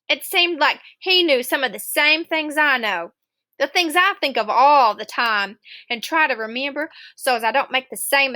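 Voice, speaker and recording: A woman reading a children's novel aloud as an expressive storybook narrator. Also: high-pitched.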